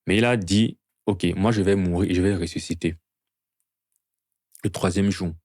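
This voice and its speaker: A man's voice: low voice, steady tone, normal speed, darkish tone, dry recording, studio quality. A man preaching an evangelistic sermon to a gathering in an earnest, teaching manner, often posing rhetorical questions.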